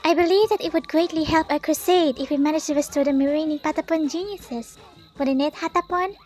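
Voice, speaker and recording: A woman's voice: sits high, a little fast, a little mellow, soft background music, natural voice. A woman voicing a proud, resolute, long-lived priestess in a cartoon comic dub, with formal and stately speech. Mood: earnest. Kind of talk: animated comic dub acting.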